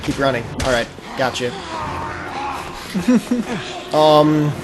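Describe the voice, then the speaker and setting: A man's voice: mid-range voice, steady tone, slow, crowd noise, poor audio. A man co-hosting a casual podcast while playing a video game, often breaking off distractedly to react to what happens on screen. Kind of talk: casual chat over live gameplay. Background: video game sounds.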